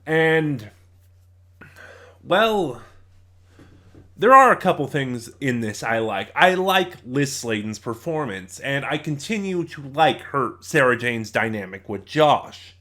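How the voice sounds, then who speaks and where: A man's voice: mid-range voice, big pitch swings, slow, sharp tone. A man giving a casual, rambling review as a reviewer, opinionated but often hesitant and digressive.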